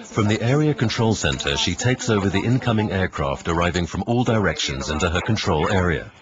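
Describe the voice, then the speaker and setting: A man reading scripted instructional narration in a measured way. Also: low voice, expressive, dull audio, a crowd in the background. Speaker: narrator of a corporate training film. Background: background music.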